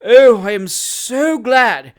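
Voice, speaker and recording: A hypocritical young manservant who simpers and weeps on cue, his voice pitched up into a thin, wavering whine. A reader puts him on in a storybook reading. His delivery swoops and wobbles with theatrical feeling. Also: animated, slow pace, full character voice. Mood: simpering. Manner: whining, simpering, gushing. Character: hypocritical sly young manservant, tearful and fawning.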